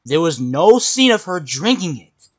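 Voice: medium pitch, sing-song, a little slow, husky. A commentator giving an exasperated, stammering critique of a cartoon.

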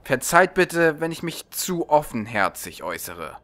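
A man's voice: a little high, very animated, measured pace, a bit bright. A man voicing a courtly, calculating serpent-man advisor in a formal, deferential audiobook manner. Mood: counseling, courteous.

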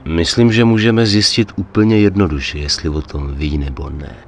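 Tough wary gangster in a crime game, really low voice, dry and blunt. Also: male voice, steady tone, slight background noise. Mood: firm. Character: level-headed, wary, tough gangster in his thirties. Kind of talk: dubbed video game cutscene acting.

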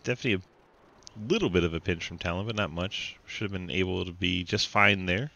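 Gravelly low-voiced race caller with a cold. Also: male voice, a little fast, pushed and bright, lo-fi sound. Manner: laughs often, playful. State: stuffy with a cold. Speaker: sim racing commentator. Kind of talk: live race commentary and banter.

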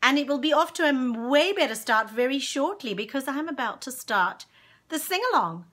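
A woman in her forties, from Zimbabwe, hosting a children's singalong live from home on a webcam, chatting slowly and cheerfully and greeting viewers by name. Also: high-pitched, lively intonation, a bit bright. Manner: slow, cheerful, affectionate, talks to viewers by name. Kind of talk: casual live-stream chat and singing. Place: home room with plants and curtains. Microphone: webcam at desk distance.

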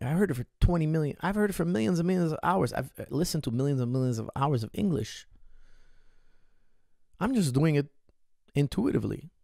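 A man in his thirties, a martial arts coach, answering a listener's question on a podcast in an animated, emphatic way, speaking into a studio mic on a boom arm in a small room. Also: vocal fry, no room sound.

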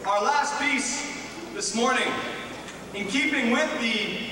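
High male voice, American, theatrical mock-solemn emcee, halting pauses, very echoey hall. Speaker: host of a church Easter show. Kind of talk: comedic stage emceeing. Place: large hall stage before an audience. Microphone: handheld-type mic on a stand, picked up from afar. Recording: echoey hall from far off, crowd noise.

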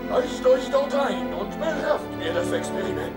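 An actor voicing an excitable, eccentric male company boss in a video game, pompously bumbling through mangled big words. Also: very high voice, normal speed. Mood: persuasive, pompous. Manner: pompous, bumbling, malapropism-laden. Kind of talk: video game cutscene acting in German. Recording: echoey, music underneath.

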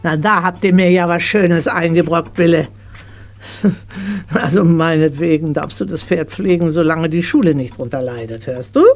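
A woman's voice: deep voice, normal speed, darkish tone. An actress playing a stern but loving middle-aged mother, admonishing and reluctant, in a children's radio play. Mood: grudging consent.

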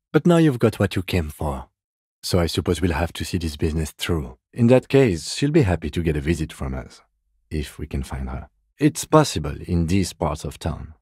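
A man playing a reserved, principled police detective in a video game, with a measured, formal, dry-witted delivery. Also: rumbling low, husky, no room sound, full character voice. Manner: measured, deadpan, formal and understated. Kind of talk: scripted video game dialogue lines.

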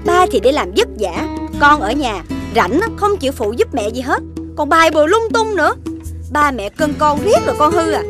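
Bà mẹ cằn nhằn, giọng cao. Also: female voice, high voice, big pitch swings, normal speed. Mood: scolding. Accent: southern Vietnamese. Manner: nagging, emphatic. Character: exasperated scolding mother of a young child. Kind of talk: children's cartoon dubbing. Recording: music bed behind the voice.

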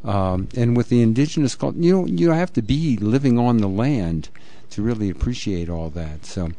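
A man's voice: medium pitch, animated, moderate pace, warm tone. A man hosting a live radio interview, talking in a loose, rambling way with many fillers. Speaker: radio host.

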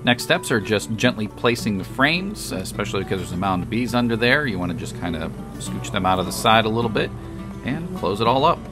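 A man narrating his beekeeping work in a relaxed, matter-of-fact, explanatory voice-over. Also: on the low side, fairly quick. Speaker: beekeeper and channel host. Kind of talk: voice-over narration of a hive install. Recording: voice over background music.

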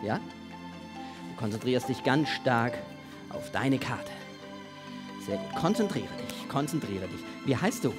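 A man in his forties presenting a live magic act on a theatre stage, with playful, showmanlike patter and deliberate pauses. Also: mid-range voice, very animated. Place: large theatre stage with seated audience. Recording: music playing behind the voice. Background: music.

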